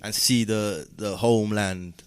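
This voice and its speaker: A man's voice: fairly low pitch, steady tone, measured pace. A man hosting a breakfast show interview, chatting informally with plenty of street slang. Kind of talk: casual urban chat interview.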